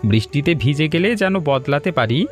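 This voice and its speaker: Guy playing caring agreeable young husband in a cartoon, lightish higher voice, music behind. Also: lively intonation, fairly quick, natural voice. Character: considerate young husband and caring son-in-law. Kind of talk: Bengali animated story dialogue.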